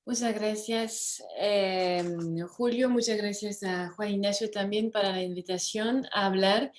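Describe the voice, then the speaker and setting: A woman from France with a French accent, a law professor giving an online lecture in a careful way, pausing often with "eh". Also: mid-range voice, steady tone. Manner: careful, with frequent "eh" pauses. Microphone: computer mic over video call.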